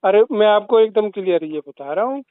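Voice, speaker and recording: A man's voice: high voice, animated, a little fast, clear, resonant tone, no echo. A man on a phone call, arguing his side firmly and insistently, repeating his points. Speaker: caller in a leaked phone call. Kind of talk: phone conversation. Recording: tinny phone line.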